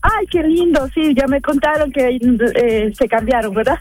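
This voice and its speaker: Female radio caller on a cellphone, high pitch, affectionate casual chat over a light music bed. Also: lively intonation, normal speed, a little mellow, dry recording. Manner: gushing, affectionate. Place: in a car, driving. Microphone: cellphone call.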